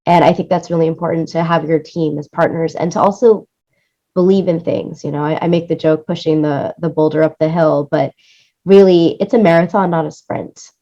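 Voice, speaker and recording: Female interview guest, lowish voice, effusive and emphatic with repeated phrases, on slightly muffled lo-fi audio. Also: steady tone, fairly quick, warm tone. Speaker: interview guest, music supervisor and producer. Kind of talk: enthusiastic interview conversation.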